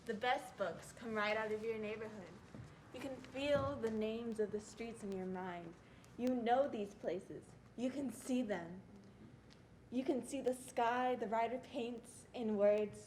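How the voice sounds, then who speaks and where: A woman's voice: on the high side, measured pace, a little mellow, lightly acted, rough recording. A young woman, a student narrator reciting scripted readers theatre lines. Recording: faint background noise.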